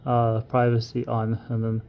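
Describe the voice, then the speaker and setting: A man's voice: medium pitch, monotone, normal speed, highs cut off. Man giving a casual walkthrough, noisy recording. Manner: informal, step-by-step explaining. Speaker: instructor demonstrating equipment. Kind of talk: casual hands-on tutorial.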